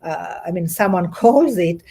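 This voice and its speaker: A woman with an Italian accent, a guest coach speaking over a video call in an earnest, halting way with many restarts. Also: fairly low pitch, lively intonation, gravelly, warm tone, slightly rough. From Italy. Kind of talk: livestream interview.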